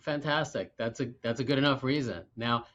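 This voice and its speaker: A man's voice: mid-range voice, brisk, a bit bright. A man from Brooklyn hosting a podcast who interviews in a chatty, enthusiastic, self-deprecating way. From Brooklyn, New York. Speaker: podcast host. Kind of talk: interview host. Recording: dull lo-fi audio.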